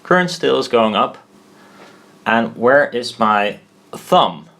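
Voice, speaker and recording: A man giving an informal technical tutorial as he draws, halting, thinking aloud and correcting himself as he goes. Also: medium pitch, animated, vocal fry, sharp tone. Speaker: presenter of a hobbyist explainer video.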